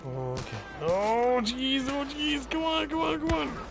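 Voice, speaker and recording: A man giving live gameplay commentary, excitable and jokey, exclaiming and swearing as he plays. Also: extremely high pitch, a little slow, creaky. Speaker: game streamer. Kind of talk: live gameplay commentary, reacting and reading chat. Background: video game music, gunfire.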